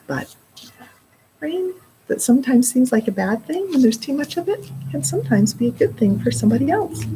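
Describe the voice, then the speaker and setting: A middle-aged woman reads a story to children at an unhurried pace. Her lowish voice swings expressively, and she slips into casual explanations along the way. There is faint room noise behind her. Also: gravelly, low-quality recording. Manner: expressive, explaining words and asides to young listeners. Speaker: children's librarian reading aloud. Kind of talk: read-aloud storytime with casual asides. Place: indoor library children's room.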